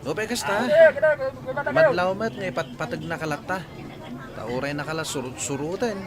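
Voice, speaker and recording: Guy acting teasing young filipino pal in a radio drama, high lively voice, music and chatter behind. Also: normal speed, lightly acted. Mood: chiding. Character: teasing, easygoing young male buddy. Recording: loud music and crowd behind.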